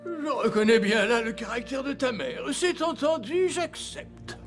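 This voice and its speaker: A man voicing a fond, hesitant old king in a French cartoon dub, speaking haltingly. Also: very high-pitched, animated, over music, heavily acted. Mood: resigned, fond.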